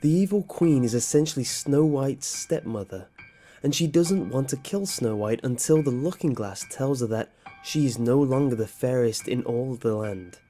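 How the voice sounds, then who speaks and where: A narrator giving a steady scripted read of a countdown of dark story origins, played from a video. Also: mid-range voice, normal speed. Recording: narration with music bed.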